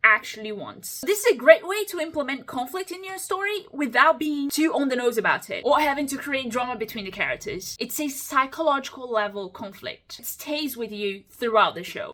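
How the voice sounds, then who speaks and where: A woman's voice: fairly high pitch, sharp tone. A woman hosting a reaction video, chatting casually, breaking down what she hears and often laughing. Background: faint musical soundtrack playing.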